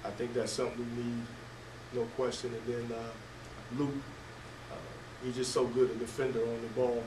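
An older man coaching basketball, answering press questions haltingly with many fillers and restarts. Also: mid-range voice, little pitch movement. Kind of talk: postgame press conference answers. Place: press room at a table before a team backdrop. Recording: echoey room, distant mic, noisy.

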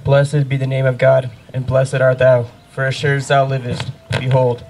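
A man's voice: mid-range voice, monotone, normal speed, darkish tone, slight background noise, natural voice, low-quality recording. A young man playing a devout king in an amateur scripture pageant, reciting formal prayers into a handheld microphone outdoors. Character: devout king praying in fervent repentance. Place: outdoor wooded stage. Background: music playing.

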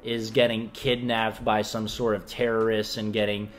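A man's voice: on the low side, little pitch movement, normal speed. A young man co-hosting a casual film review in a small indoor room, with faint music behind. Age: young adult. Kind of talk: casual movie review chat.

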